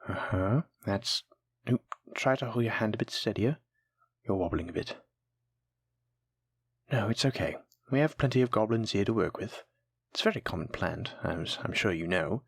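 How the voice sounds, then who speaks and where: A voice actor playing a kind, patient alchemy teacher in a fantasy audio roleplay, gently encouraging and instructing a new apprentice. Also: low-pitched, animated, moderate pace, creaky, long pauses, husky, no echo, exaggerated voice. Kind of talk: soft-spoken scripted fantasy audio roleplay.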